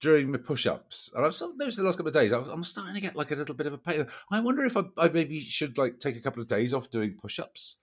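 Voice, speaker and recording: A man co-hosting a podcast in rambling, jokey chat, his voice a little croaky. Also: a little high, very animated, quick pace, hardly any pauses. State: slightly croaky voice. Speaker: podcast co-host. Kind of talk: casual comic chat.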